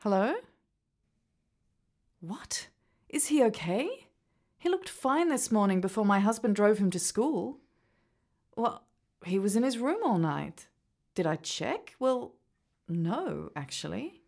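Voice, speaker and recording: A woman's voice: medium pitch, big pitch swings, slow pace, vocal fry, pauses often, a little mellow, heavily acted. A woman with an Australian accent playing a worried, defensive mother in a radio drama. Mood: anxious. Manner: indignant, emotional.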